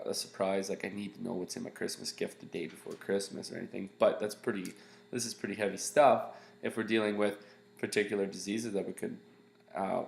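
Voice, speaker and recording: Congested, gravelly-voiced male teacher. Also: on the low side, roomy sound. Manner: casual, rambling with restarts and fillers. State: congested, nasal. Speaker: science teacher. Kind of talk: recorded screencast lesson.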